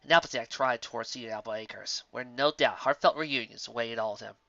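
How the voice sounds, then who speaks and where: A woman narrating a fan-fiction story in an expressive storybook style. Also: rumbling low, brisk, creaky, no echo. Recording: dull, muffled audio.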